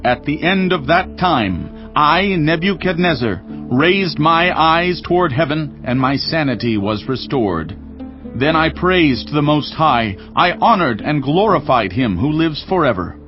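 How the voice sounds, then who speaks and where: A man's voice: on the high side, lively intonation, a little slow. A man playing a proud, majestic Babylonian king, declaiming grandly in a dramatized scripture recording. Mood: reverent, exultant. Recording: muffled, with faint music.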